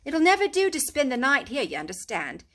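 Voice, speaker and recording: A woman's voice: high-pitched, huge pitch swings, a little fast, a bit bright, voice pushed up, full character voice. Woman doing a hearty, reassuring water rat. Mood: brisk. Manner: hearty, reassuring, a little lecturing. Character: brave cheerful male water rat.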